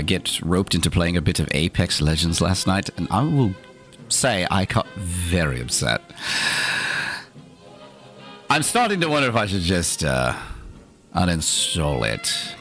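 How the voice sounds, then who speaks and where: A man's voice: low voice, fairly bright tone. A man hosting an in-character livestream, talking theatrically in a put-on accent with dry, opinionated asides. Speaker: streamer host.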